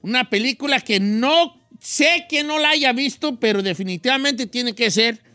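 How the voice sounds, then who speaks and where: High bright-voiced male talk show host. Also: moderate pace, dry recording. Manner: enthusiastic, mixes Spanish and English. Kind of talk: casual talk show hosting.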